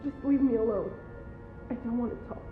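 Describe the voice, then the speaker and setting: A woman's voice: on the high side, a little fast, soft background music, natural voice. A woman playing a curt, upset maid, muffled. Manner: curt, snapping. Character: upset, withdrawn young hotel maid woman.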